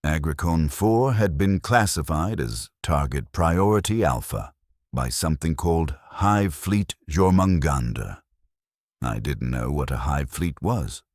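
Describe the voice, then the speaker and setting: Very deep, slow, solemn male narrator. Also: lively intonation, darkish tone, dry recording, studio quality. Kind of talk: scripted first-person sci-fi war story narration.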